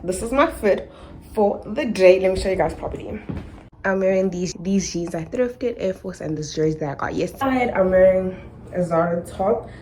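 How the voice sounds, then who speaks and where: A young South African woman chatting to her camera in a rambling, casual way, tired and low on energy. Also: moderate pace, noisy background. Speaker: vlogger. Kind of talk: casual vlog chat to camera. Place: indoors, then out in the city streets.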